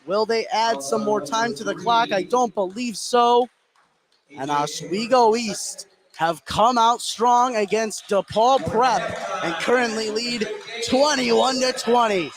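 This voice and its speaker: A man's voice: extremely high pitch, slow pace, a crowd in the background. An American man doing live play-by-play commentary on a basketball game. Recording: clear gym noise behind voice. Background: sports venue ambience.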